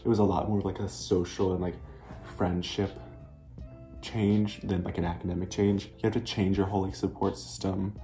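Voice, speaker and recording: A young man from North Carolina, a college freshman, talking casually in halting phrases full of "like". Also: low voice, level tone, warm tone. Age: young adult. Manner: halting, many "like"s. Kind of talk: casual testimonial. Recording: roomy echo with faint music.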